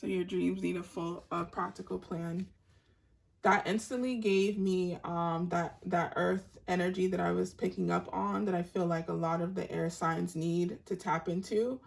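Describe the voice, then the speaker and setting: A woman giving a tarot reading to her online audience in a soft, meandering, spiritual way, with a raspy voice. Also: lowish voice, steady tone. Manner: soft-spoken and meandering, unhurried spiritual talk. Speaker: tarot reader.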